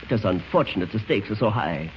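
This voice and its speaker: An actor playing a menacing, formally polite villain with a German accent, in 1940s radio style. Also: male voice, fairly low pitch, animated, fast, faint music behind, close to an everyday voice. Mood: cold threat. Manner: formal, precise, threatening. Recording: muffled, hissy old radio recording.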